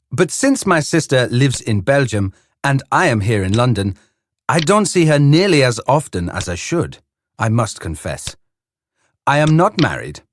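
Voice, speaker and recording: Guy playing a neat formal older detective, very polite, voice bounces up and down a lot. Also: medium pitch. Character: fussy, precise older male detective. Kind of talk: scripted reading for learners.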